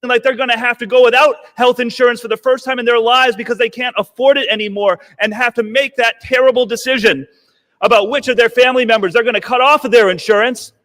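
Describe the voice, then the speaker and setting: A man's voice: very high-pitched, fairly even intonation, fast, a bit bright. A man, a congressman, giving a sarcastic, indignant floor speech in a legislative chamber. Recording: long reverb of a large chamber.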